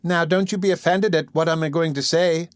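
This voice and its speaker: A man's voice: on the high side, fairly even intonation, a little fast, clean tone, no echo, studio quality, voice pushed up, lightly acted. A man putting on a gushing, fawning old-fashioned nursemaid. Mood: wheedling. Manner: gushing, wheedling, overly humble. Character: fawning, scheming middle-aged woman running a workhouse nursery.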